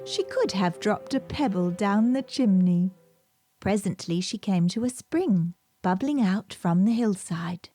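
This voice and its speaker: A woman narrating a scripted children's story as a storybook reader, with gentle music behind her. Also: mid-range voice, animated, measured pace, no echo. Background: gentle background music.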